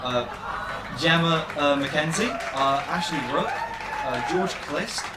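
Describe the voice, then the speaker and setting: A man's voice: on the high side, takes it slowly, crowd noise. A man presenting a casual, chatty cast interview as host, with a playful, jokey manner. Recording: roomy, noisy set with people chattering.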